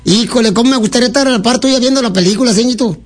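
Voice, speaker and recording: A man's voice: extremely high pitch, very fast, faint music behind. A man voicing a lonely, silly male caller who rambles, teases and flirts on a radio prank call. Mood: teasing. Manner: rambling, teasing, crude jokes.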